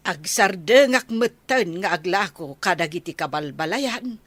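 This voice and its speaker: A woman's voice: lowish voice, expressive, a little slow, no echo. Chatty village woman, dry studio. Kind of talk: comedy radio drama acting.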